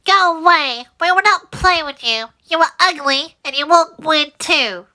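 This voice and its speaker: A woman voicing a bunch of mean, bratty young ducklings in a storybook reading, with a shrill, taunting delivery. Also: expressive, a little slow, sharp tone, heavily acted.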